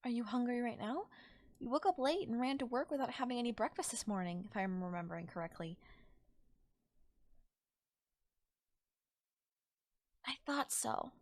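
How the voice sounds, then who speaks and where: Affectionate girlfriend, played by a woman, comfort audio roleplay. Lightly high pitch, soothing and intimate, very dry close-mic sound. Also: quick pace, no room sound, close to an everyday voice. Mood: tender. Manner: tender, soothing, coaxing, breathy near-whispers at times. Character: caring, affectionate girlfriend comforting a tired partner. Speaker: voice actor. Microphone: close microphone in a dry space.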